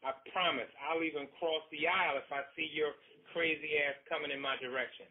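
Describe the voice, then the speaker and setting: A man voicing a hot-tempered grown man, loud and blustering, over a tinny phone-like line. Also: high voice, normal speed, voice pushed up, lightly acted. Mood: threatening.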